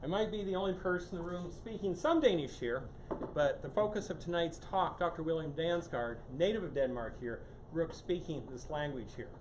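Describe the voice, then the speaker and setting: A man's voice: a little high, fairly quick. A man giving a casual live lecture to a small audience, restarting his sentences and tacking "here" onto almost every phrase. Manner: casual, repeats "here" constantly, many restarts. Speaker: guest speaker on climate science. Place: meeting room with a live audience. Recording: roomy, distant mic with background noise.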